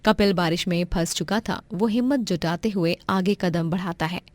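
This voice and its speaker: A woman's voice: fairly low pitch, normal speed, a little mellow, no echo. A woman narrating a scripted moral story as a storyteller.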